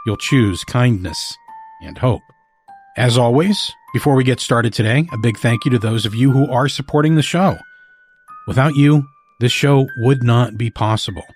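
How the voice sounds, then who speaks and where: A man hosting a podcast, reading a scripted introduction in an earnest, measured way. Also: medium pitch. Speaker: podcast host.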